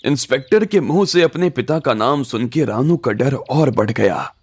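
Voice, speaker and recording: A man's voice: medium pitch, animated, moderate pace, darkish tone, no echo. A man narrating a scripted audio story in a dramatic storytelling style. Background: faint music.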